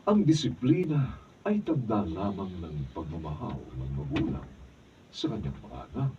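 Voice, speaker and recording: A man's voice: fairly low pitch, big pitch swings, normal speed, recorded from a distance, dull audio, slight background noise. A man giving a solemn, scripted introduction to a radio drama, addressing listeners.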